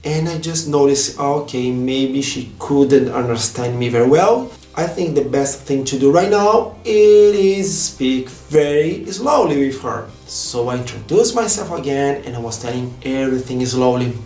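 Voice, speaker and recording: A man's voice: mid-range voice, lively intonation, measured pace, hardly any pauses, darkish tone, echoey room, faint music behind. Brazilian-accented man, earnest vlog talk. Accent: Brazilian Portuguese. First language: Portuguese. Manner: earnest and heartfelt, slightly non-native phrasing. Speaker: vlogger. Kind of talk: personal vlog monologue.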